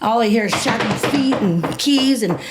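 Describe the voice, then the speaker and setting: A woman in her fifties telling a gritty personal story in a casual interview, animated, repeating herself and swearing freely. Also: on the high side, moderate pace, noisy background. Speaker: interview guest.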